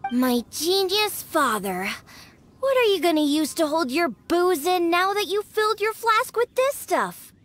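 A woman's voice: extremely high pitch, big pitch swings, slow pace, fairly bright tone, faint music behind, heavily acted. A woman voicing a small, exasperated young cat-eared daughter in a video game, scolding and matter-of-fact. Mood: dry, exasperated.

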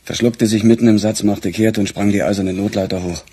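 An actor playing a weary, grumbling police chief with a husky voice in a radio crime drama. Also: male voice, on the low side, fairly even intonation, fairly quick, dark tone. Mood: weary, subdued.

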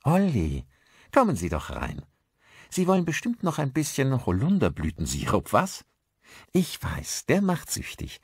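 A man's voice: mid-range voice, huge pitch swings, unhurried, gravelly, darkish tone, slightly rough, no room sound, studio quality, voice pushed up, exaggerated voice. A man voicing a friendly, hospitable village woman in an audiobook, chatty and emphatic. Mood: welcoming.

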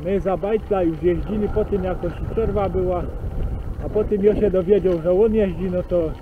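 Silesian man, cycling vlogger, high voice, rambling casual chat, tinny lo-fi mic, faint wind noise outdoors. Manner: slow, rambling, relaxed chat with pauses. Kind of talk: casual spoken commentary while riding. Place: outdoors on a bike on rural roads and forest tracks.

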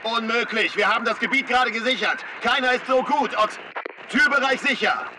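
A man's voice: very high voice, a little fast, background noise. A man playing an urgent, combative special-forces soldier giving short barked reports in a video game. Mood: alarmed, urgent. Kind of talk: video game battle chatter.

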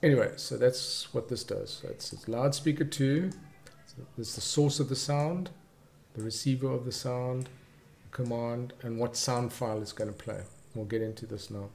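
A man presenting a casual software tutorial, explaining things and defining terms as he goes. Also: mid-range voice, steady tone, moderate pace, vocal fry, a little hiss or hum.

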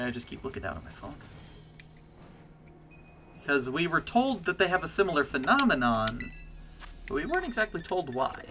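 A man with a bass voice streaming a game, chatting casually and getting excited or pleading with the game as he plays. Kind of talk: casual gameplay commentary. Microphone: desk mic with webcam.

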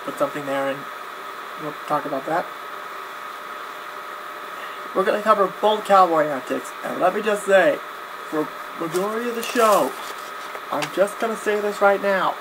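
Rambling young man vlogging over background noise. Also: sits high. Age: young adult. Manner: rambling, halting, backtracks and repeats phrases. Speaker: video blogger. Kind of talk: casual vlog announcement to camera. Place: small cluttered home room, indoors. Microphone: webcam at desk distance. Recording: clear background noise, webcam-grade audio.

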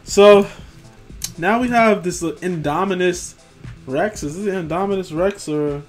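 A man's voice: sits high, a little slow, soft background music. Tired, swearing young man vlogger. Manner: rambling, profane, stumbles over names. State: tired after work. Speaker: figure collecting vlogger. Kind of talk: casual commentary over screen images. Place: home room.

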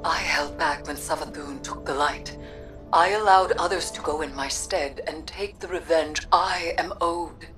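Video game occult avenger: theatrical, deliberate, slow, low register, grave and defiant. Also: female voice, a bit bright. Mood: pleading, resolute. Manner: solemn, formal, deliberate. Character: brooding, vengeful female occult sorceress, solemn and defiant. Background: music.

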